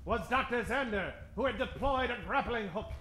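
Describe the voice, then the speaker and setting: A man announces an old-time adventure serial with theatrical suspense. His voice is bright and pitched high. It sounds roomy, with faint music behind. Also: lively intonation, moderate pace, a little hiss or hum. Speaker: narrator and announcer.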